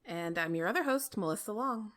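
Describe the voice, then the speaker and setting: A woman's voice: medium pitch, lively intonation, fairly quick, a bit bright. A woman co-hosting a podcast, chatting casually and conversationally with a guest. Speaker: podcast co-host.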